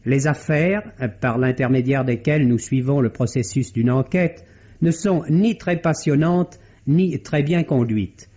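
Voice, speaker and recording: A man reading a scripted film review as a radio critic, measured, literary and articulate, in the style of 1950s radio. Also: mid-range voice, dark tone. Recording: dull vintage 1950s radio recording.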